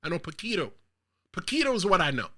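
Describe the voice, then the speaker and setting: A man from the Bronx hosts his own wrestling show, speaking directly to his viewers. His voice is high and piercingly bright, and it swings widely in pitch. He pushes out his hot takes with real emphasis. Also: very animated, fast. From the Bronx, New York. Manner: talks straight to viewers. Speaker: show host. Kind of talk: solo wrestling commentary show.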